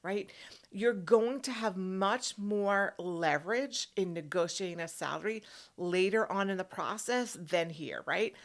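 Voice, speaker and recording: A woman's voice: medium pitch, a little slow. A woman working as a career coach, speaking straight to the viewer in an emphatic, instructional way and often checking in with short tag questions. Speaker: career coach hosting an advice video.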